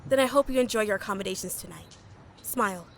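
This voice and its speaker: A woman's voice: medium pitch, fast, gravelly, a little hiss or hum, natural voice. An actress playing a stern, protective woman in charge, scolding and commanding. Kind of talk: audio drama acting.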